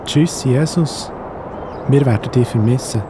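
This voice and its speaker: A man's voice: medium pitch, measured pace, noisy background, natural voice. A man playing a curious, devoted disciple in a children's Bible audio play. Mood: wistful.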